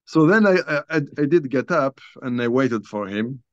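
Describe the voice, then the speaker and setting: An older man from Algeria, a guest author, telling a personal story in a halting, conversational way with many restarts, recorded over a video call from a small room at home. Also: medium pitch, lively intonation, no room sound. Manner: halting, restarts often, many you-knows. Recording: dull lo-fi video call audio.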